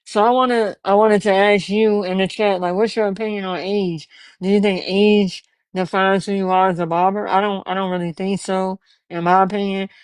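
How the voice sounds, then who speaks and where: A man's voice: sits high, little pitch movement, moderate pace, clear, resonant tone, dry recording. A man in his twenties hosting a podcast, earnest and encouraging, telling stories from his own start as a barber. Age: 20s. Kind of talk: casual interview chat.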